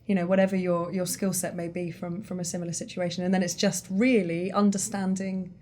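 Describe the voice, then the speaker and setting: A woman's voice: fairly low pitch, little pitch movement, fairly quick, dark tone. A woman hosting a casual interview, chatty and encouraging, with an enthusiastic way of talking. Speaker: host.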